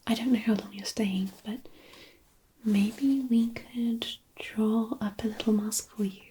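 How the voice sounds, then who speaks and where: A woman playing a gentle, caring fairy healer in a fantasy ASMR roleplay, whispering softly and soothingly. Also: on the high side, steady tone, a little hiss or hum, natural voice. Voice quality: soft, breathy whisper. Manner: soothing, unhurried, attentive.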